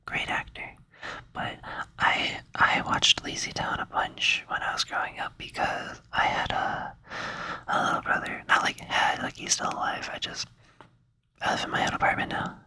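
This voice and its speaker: A young man whispering in a rambling, playful ASMR style, with many fillers. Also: moderate pace. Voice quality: soft whisper. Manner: rambling, playful, says "like" a lot. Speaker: ASMR creator.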